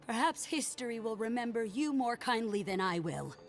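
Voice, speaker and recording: A woman's voice: on the high side, expressive, normal speed. A woman acting a grieving, accusing woman in a dramatic video game scene, scornful and bitter. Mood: bitter grief. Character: grieving, bitter woman condemning an envoy over deaths.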